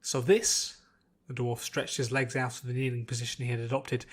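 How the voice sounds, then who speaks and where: A man reads fantasy fiction aloud as a narrator. His mid-pitched voice carries a scripted audiobook delivery. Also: completely flat delivery, fast talker.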